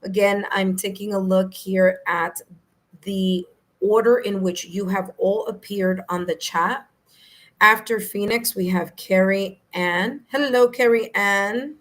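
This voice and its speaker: A woman with a New York accent and a slightly hoarse, raspy voice, hosting a live tarot stream in a friendly, chatty way. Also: medium pitch, steady tone, slow pace, fairly bright tone. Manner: friendly, chatty, laughs easily. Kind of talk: casual live-stream chat, greeting viewers.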